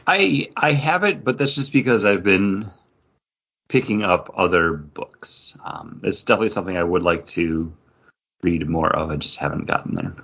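A man with a fairly low, rough-edged voice talks as a podcast co-host. He rambles casually and enthusiastically, often correcting himself. The audio is a bit dull and lo-fi. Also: animated, normal speed, fairly bright tone. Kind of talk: casual chat reviewing comic books.

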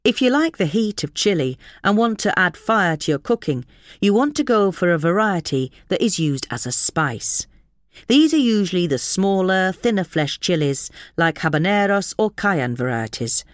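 Woman, British accent, article narration, animated intonation, close dry sound. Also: fairly low pitch, moderate pace, dry recording. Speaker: article reader. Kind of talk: scripted magazine article narration.

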